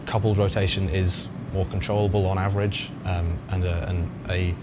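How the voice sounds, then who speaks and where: Casting: low-pitched male developer, informal and tentative explainer, noticeable vehicle ambience behind him. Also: level tone, normal speed, heavy background noise. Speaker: game developer guest answering questions. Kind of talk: casual explanatory talk.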